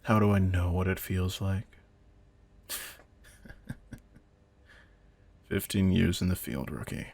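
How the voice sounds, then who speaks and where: A man playing a veteran vampire hunter in an audio drama, a controlled, intense mentor who speaks in a deliberate, commanding, quietly menacing way. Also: sits low, little pitch movement. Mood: wry, guarded. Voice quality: gravelly, husky. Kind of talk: scripted roleplay audio drama.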